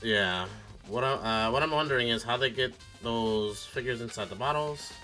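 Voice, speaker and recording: A man's voice: mid-range voice, normal speed, bright, forceful tone, faint music behind. A man streaming a game with casual commentary, reasoning aloud in a blunt, speculative way with casual swearing. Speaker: streamer playing a mystery game.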